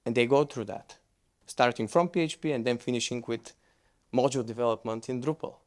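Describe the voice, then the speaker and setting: Man, conference speaker, mid pitch, loose conversational delivery, restarts often. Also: fairly quick. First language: Bulgarian. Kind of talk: conference presentation with Q&A.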